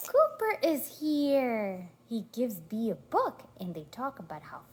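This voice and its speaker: A woman reading a storybook aloud to a toddler in an encouraging, sing-song way, pausing to prompt and praise. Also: on the high side, huge pitch swings, darkish tone. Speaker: parent reading aloud to a young child.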